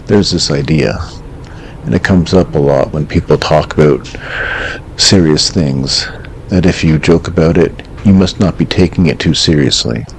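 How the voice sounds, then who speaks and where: A man playing a calm, wry host of an eerie late-night radio call-in show, speaking in a measured, reflective, understated way. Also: very deep voice, a little hiss or hum, rough recording.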